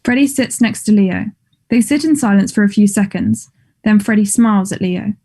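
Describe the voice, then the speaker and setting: A woman narrates stage directions from a script. Her voice is mellow and warm, at an average female pitch. She delivers each direction in a plain, matter-of-fact way. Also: normal speed.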